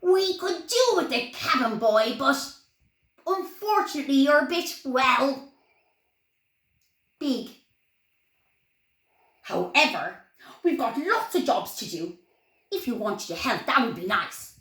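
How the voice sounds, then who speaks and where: A woman's voice: sits high, very animated, takes it slowly, pauses often, a bit bright, heavily acted. A woman voicing a tiny, proud male pirate captain for a children's story, declaiming grandly and giving orders. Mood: hearty. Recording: roomy echo, clear background noise.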